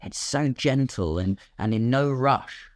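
A man speaking casually as an actor guest in an interview, explaining in an animated way and voicing imagined quotes. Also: medium pitch, measured pace, dry recording, clean studio sound. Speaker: guest, radio drama actor.

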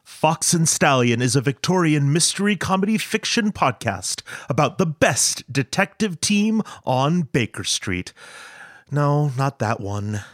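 A man's voice: medium pitch, dry recording, clean studio sound. A man reading light, semi-scripted promos as a podcast announcer.

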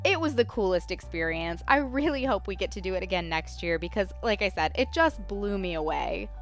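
A woman's voice: mid-range voice, expressive, fairly quick, soft background music. A woman hosting a podcast, talking enthusiastically and gushing about her guests. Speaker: podcast host. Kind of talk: upbeat podcast intro and booth interviews.

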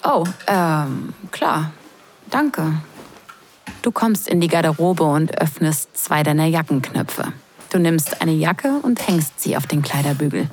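A woman's voice: low-pitched, animated, takes it slowly, darkish tone, a little hiss or hum. A woman acting a sarcastic, flustered young sister of the groom in an intimate, confiding audio drama. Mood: flustered, reflective. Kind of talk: intimate first-person audio drama narration and dialogue.

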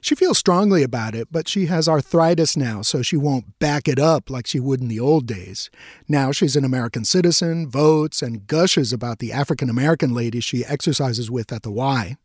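A man's voice: medium pitch, moderate pace, no echo, studio quality. A middle-aged man from Mexico, a native Spanish speaker, narrating a radio feature in a wry, self-mocking way. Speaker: radio reporter.

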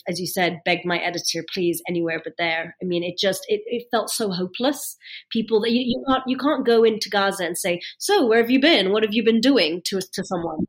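A woman from London with a British accent, a journalist talking through her experiences as a guest in an interview, animated and often repeating words as she thinks. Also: lowish voice. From born in London. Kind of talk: conversational interview.

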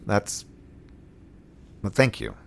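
A man's voice: very slow, a little hiss or hum. An actor playing a weary, wry, heartsick man in a space colony, in a dry, understated audio drama delivery. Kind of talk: scripted audio drama acting.

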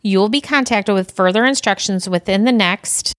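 A woman's voice: medium pitch, normal speed, natural voice. A woman playing a stern, exasperated alien empire commander in an audio drama, speaking formally with dry, curt delivery. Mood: formal, officious.

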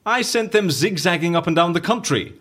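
An actor playing an eccentric, chatty British interviewer in an audio drama, with quaint phrasing and a theatrical manner. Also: male voice, high voice, expressive, brisk, fairly bright tone. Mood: composed, assured. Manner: quaint exclamations, theatrical swings. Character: eccentric, chatty British male case interviewer, jovial and flustered.

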